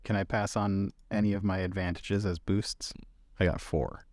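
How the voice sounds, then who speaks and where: A man's voice: low voice, level tone, normal speed, darkish tone, dry recording, studio quality. A man playing a tabletop roleplaying game, casually chatting and describing what his character does.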